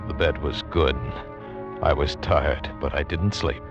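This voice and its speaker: Deep-voiced, grim hunted hero. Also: male voice, steady tone, muffled recording. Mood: grim, haunted. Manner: tense, deliberate dramatic delivery. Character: grim hunted man narrating his own suspense tale. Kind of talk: 1940s radio acting, first-person narration. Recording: vintage radio drama with music behind.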